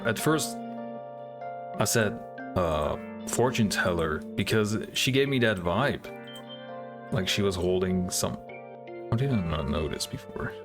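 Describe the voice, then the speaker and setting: A man with a low-pitched voice gives casual, off-the-cuff reaction commentary. He sounds hesitant, thinking aloud as he goes. Music is clearly audible behind him. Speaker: reaction video host.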